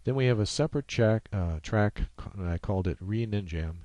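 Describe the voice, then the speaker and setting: A man with a low voice narrates a screen-capture tutorial. He explains each step off the cuff, halting as he goes, in a casual way. The sound is dry, with no room to it. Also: mellow, dry recording, lo-fi sound. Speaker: software tutorial presenter. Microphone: USB microphone.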